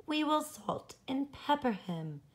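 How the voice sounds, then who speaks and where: A woman's voice: high-pitched, big pitch swings, slow pace, voice pushed up, exaggerated voice. A woman voicing a playful storybook goddess in a ceremonious singsong. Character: playful storybook goddesses preparing a mummy, female.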